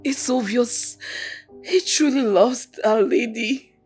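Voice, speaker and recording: Woman playing a crying mom, high voice, slow, keeps saying sorry, faint music behind. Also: darkish tone, husky. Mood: remorseful. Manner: tearful, pleading, repeating apologies. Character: remorseful young mother, drug-troubled, pleading. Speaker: actor.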